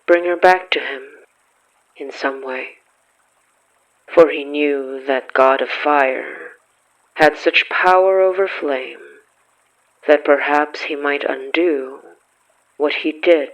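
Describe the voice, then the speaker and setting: A man reading scripted horror fiction as a narrator, solemn and measured with dramatic pauses. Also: fairly high pitch, fairly bright tone, muffled recording.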